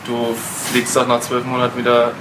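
A man's voice: mid-range voice, completely flat delivery, fairly quick. A man hosts a casual travel podcast, asking the guest questions and answering with short words of agreement. The recording has plain background noise and an air-conditioning hum. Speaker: podcast host, backpacker. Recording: noisy recording.

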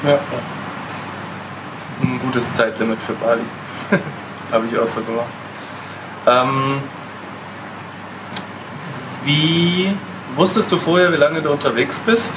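A man's voice: mid-range voice, fairly even intonation, slow pace, pauses often. A man hosting a casual travel podcast, asking a guest questions with brief replies like "cool" and "yeah". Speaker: podcast host, backpacker. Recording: noisy recording.

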